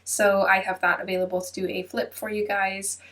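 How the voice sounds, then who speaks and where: Woman, congested stuffy nose, chatty and hedging, mid-pitched, slightly distant from the mic. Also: flat delivery, moderate pace, fairly bright tone. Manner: chatty, many ums and hedges. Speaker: channel host. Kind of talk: casual chatty flip-through voice-over. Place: at her computer.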